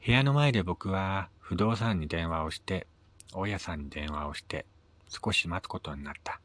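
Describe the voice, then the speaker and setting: A man narrating a first-person short story in a measured, dramatic reading with pauses. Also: low voice, fairly bright tone. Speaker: amateur reader of his own short stories.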